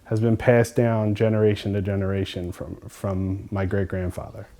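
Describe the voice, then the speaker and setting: A man's voice: on the low side, completely flat delivery, normal speed, warm tone, a little hiss or hum. A man from Philadelphia, a language student, speaking earnestly and thoughtfully in a documentary interview.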